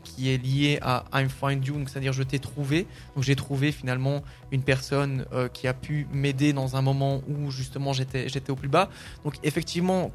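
A French-speaking man, a singer-songwriter, answering interview questions casually with many fillers and restarts. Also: medium pitch.

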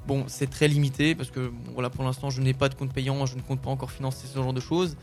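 A man's voice: mid-range voice, little pitch movement, fast talker, darkish tone, soft background music. A French-speaking man, a singer-songwriter, answering interview questions casually with many fillers and restarts.

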